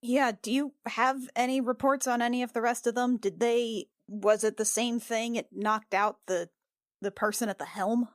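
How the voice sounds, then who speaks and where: A woman playing a tabletop roleplaying game, speaking casually and haltingly as she improvises dialogue. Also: on the high side, fairly even intonation, no echo, studio quality.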